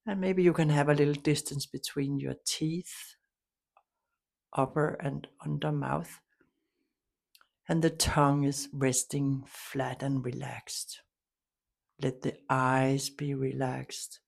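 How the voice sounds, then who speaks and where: A woman leading a guided meditation, giving soft instructions in a calm, soothing, unhurried way with long pauses. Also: rumbling low, gravelly.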